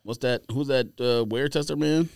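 A man's voice: medium pitch, moderate pace, vocal fry, darkish tone, smooth, no room sound, studio quality. An American man co-hosting a podcast, chatting casually with slangy, opinionated remarks. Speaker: podcast co-host.

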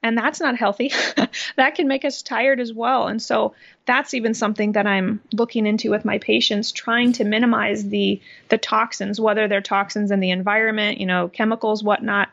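A woman's voice: medium pitch, fairly even intonation, normal speed, vocal fry, fairly bright tone, highs cut off. A woman in her thirties from Iowa, a health practitioner explaining her approach to patients in a podcast interview, with light laughs between points. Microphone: remote computer recording.

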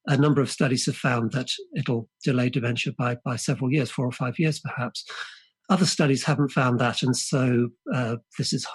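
A man whose first language is Polish, a guest author speaking in a podcast interview, thoughtful and halting, often restarting and repeating words. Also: medium pitch, fairly even intonation, husky.

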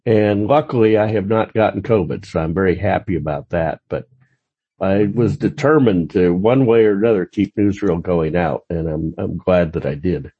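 A man speaking as an interview guest, answering in a measured, hesitant and careful way. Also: low-pitched, husky. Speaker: magazine editor, interview guest. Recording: dull, muffled lo-fi call audio.

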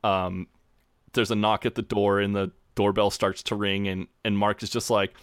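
A man co-hosting a podcast, casually recapping a TV episode in an animated way and acting out the characters' lines. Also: lowish voice, moderate pace, clean tone, dry recording, clean studio sound. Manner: animated storytelling, acts out quoted lines in funny voices. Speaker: podcast co-host.

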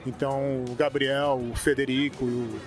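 A man with a Brazilian Portuguese accent speaking as an interview guest, relaxed and halting as he thinks aloud. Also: mid-range voice, faint music behind. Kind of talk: casual conversation. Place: conference event venue.

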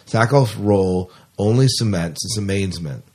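Low, husky male podcast host. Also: normal speed, darkish tone. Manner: informal, enthusiastic fan talk. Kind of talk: casual chat, reading entertainment news.

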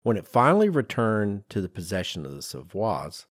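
Man, podcast host, lowish pitch, very lively intonation, informal explainer, storytelling, dry sound. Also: moderate pace, no room sound. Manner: informal, animated storytelling with acted-out asides. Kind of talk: conversational explainer of design history.

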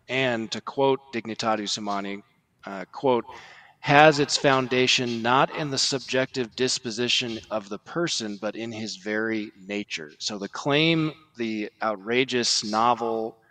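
A man giving formal, measured religious commentary on a podcast, quoting documents and stressing points as he lists them. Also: medium pitch, fairly bright tone, low-quality recording.

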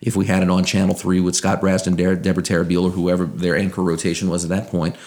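A man in his thirties speaks in a low-pitched, fry-edged American voice with just a trace of Southern twang. His diction is careful and shaped like a broadcaster's, even as he chats and tells stories as an interview guest. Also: fast talker. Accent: American, faint Southern twang. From Louisiana, United States. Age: 30s. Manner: precise, broadcaster-like enunciation. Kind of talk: conversational storytelling.

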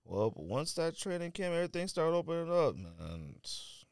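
Animated man bantering on a podcast. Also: on the high side, moderate pace, vocal fry, dry recording, clean studio sound. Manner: slangy, opinionated, exasperated ribbing. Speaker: podcast co-host. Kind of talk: casual sports and sneaker banter.

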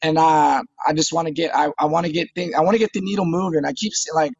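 Thirtysomething fighter guy, husky high voice, eager, trips over his starts, dry dull audio. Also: fairly even intonation, fast talker, no room sound. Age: 30s. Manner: eager, repeats words when starting phrases. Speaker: MMA fighter guest. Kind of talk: casual interview talk.

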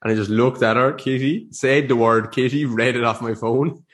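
A man's voice: mid-range voice, steady tone, normal speed, fairly bright tone. A young man hosting his podcast in a casual, rambling chat, a little sniffly from a cold. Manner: rambling, chatty, with restarts and laughs. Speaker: podcast host.

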